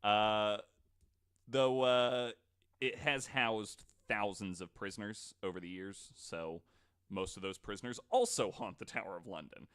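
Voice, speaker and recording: A man's voice: fairly low pitch, measured pace, a bit bright, smooth. A man hosting a podcast, telling stories to co-hosts in a casual, joking, informal way with some swearing. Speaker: podcast host.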